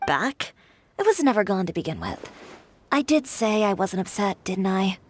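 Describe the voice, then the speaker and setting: A woman's voice: mid-range voice, very animated, moderate pace, slight background noise, close to an everyday voice. An actress playing a proud, determined young female designer with a dry, self-assured, decisive manner in a video game. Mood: dry, composed. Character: confident, determined young woman fashion designer, proud and brisk. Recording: music behind the voice.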